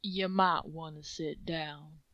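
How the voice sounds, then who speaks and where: A woman voicing a big, quiet, earnest male farm pony in a slow, deliberate, folksy way. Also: deep voice, steady tone, dry recording, muffled recording. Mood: solemn.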